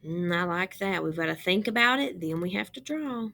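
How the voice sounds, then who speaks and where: A woman reading a picture book aloud as a teacher, pausing to explain and ask young children questions. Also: fairly low pitch, lively intonation, creaky, pushed and bright.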